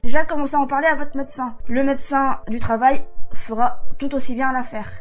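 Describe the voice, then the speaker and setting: A woman's voice: high-pitched, steady tone, fairly quick, soft background music. A young woman with a head cold, chatting casually as a vlogger, reading health points from a sheet with frequent asides. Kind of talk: casual explainer vlog.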